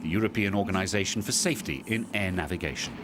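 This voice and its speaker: A man's voice: low-pitched, fast, noisy background. A man delivering scripted documentary narration. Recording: music behind the voice. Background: music.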